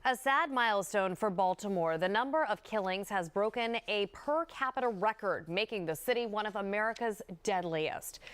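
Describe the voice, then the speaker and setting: A female television anchor with a middle-pitched voice. She delivers scripted news in broadcast style. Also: moderate pace, few pauses, fairly bright tone, smooth, soft background music. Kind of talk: scripted newscast reading.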